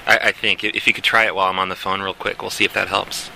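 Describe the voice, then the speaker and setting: A man making a prank phone call as a hotel worker, deadpan and mock-helpful, sometimes turning indignant. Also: low voice, fast, gravelly. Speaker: prank caller posing as hotel housekeeping. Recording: noisy phone line.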